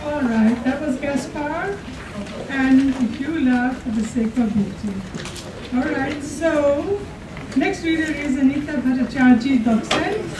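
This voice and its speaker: A woman's voice: high voice, slow. A woman announcing as host of a live contest in a college room. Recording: echoey room, distant, loud noise.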